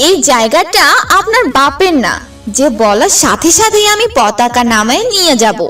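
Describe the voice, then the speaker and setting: A woman's voice: high-pitched, sing-song, moderate pace, far from the mic, loud music behind the voice. A woman voicing a feisty, combative young female football fan in a comic cartoon dub. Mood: defiant. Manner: combative, sharp-tongued.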